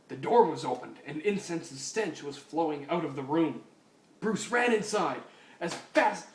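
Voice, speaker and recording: A male narrator reads a story in a mock-serious, theatrical comedic style. His voice is a little high for a man. He sounds set back from the microphone in a reverberant room with light noise. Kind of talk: comedic dramatic reading of fan fiction. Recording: echoey room, distant mic, faint hiss.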